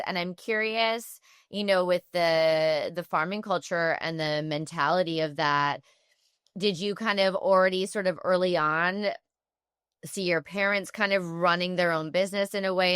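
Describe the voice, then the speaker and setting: A woman's voice: lowish voice, a bit bright, smooth, dry recording, clean studio sound. A woman hosting a podcast interview, upbeat and chatty, with lots of "kind of" and "sort of" fillers. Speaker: podcast host.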